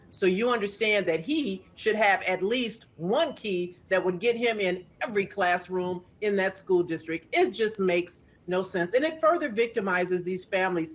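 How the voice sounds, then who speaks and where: Blunt husky-voiced woman. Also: medium pitch. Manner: blunt, indignant, forceful. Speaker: retired police sergeant, guest. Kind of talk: remote news interview.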